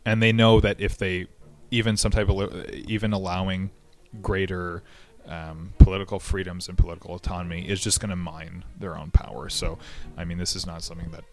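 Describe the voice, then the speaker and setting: A man's voice: low voice, little pitch movement, brisk. A man hosting a history podcast, explaining events in a casual way, with frequent fillers and restarts. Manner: conversational, many fillers and restarts.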